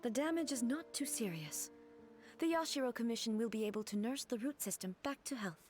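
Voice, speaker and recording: Game cutscene: actress as a grave, soft-spoken ruler with a measured, commanding, breathy read. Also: female voice, fairly high pitch, expressive, soft background music, close to an everyday voice. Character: solemn divine ruler, calm and commanding. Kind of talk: video game cutscene dialogue.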